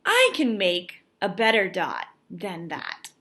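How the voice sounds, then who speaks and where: A woman's voice: mid-range voice, huge pitch swings, slow pace, fairly bright tone, exaggerated voice. A woman voicing a stubborn, discouraged young schoolgirl artist from a storybook, with emphatic, dramatic delivery. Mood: determined.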